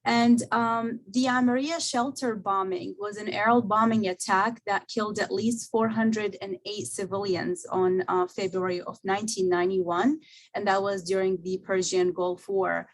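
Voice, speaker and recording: A woman's voice: mid-range voice, fairly even intonation, normal speed, low-quality recording. A young adult woman from Iraq, speaking earnestly as a panelist in an academic talk, with frequent fillers. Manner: earnest, many fillers, personal perspective. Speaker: visiting scholar panelist.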